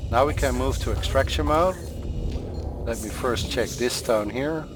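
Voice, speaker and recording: A man with a Dutch accent, a native Dutch speaker, explains calmly and step by step as he plays through a game tutorial. His voice sits at a middling pitch. Game music plays steadily underneath. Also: unhurried. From Netherlands. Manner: calm, explaining step by step while playing. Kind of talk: gameplay tutorial commentary. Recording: voice over game sound and music.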